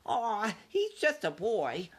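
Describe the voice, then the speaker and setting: A man's voice: sits high, wildly swooping pitch, takes it slowly, breathy edge, voice pushed up, full character voice. Ralph, a bold young mouse, as a man puts him on. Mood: eager. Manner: eager, insistent. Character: eager young male mouse, bold and boastful.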